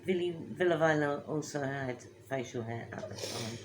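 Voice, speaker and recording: A woman's voice: sits low, moderate pace, a little hiss or hum. A woman co-hosting a casual chat, teasing and drawing out her words for emphasis. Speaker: co-host.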